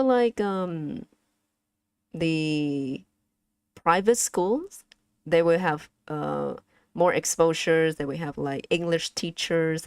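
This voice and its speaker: Young Vietnamese woman, streamer teaching her chat; low and unhurried, halting with fillers, dry close mic. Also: clean tone, no room sound. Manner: halting, slow and careful, with frequent fillers. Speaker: vtuber streamer teaching language. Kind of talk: casual language lesson to chat.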